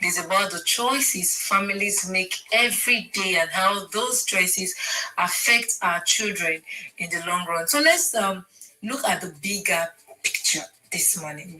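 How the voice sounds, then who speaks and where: A woman's voice: mid-range voice, pushed and bright, low-quality recording. A Nigerian woman hosting a radio interview, polite and affirming toward her guest. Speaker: radio host.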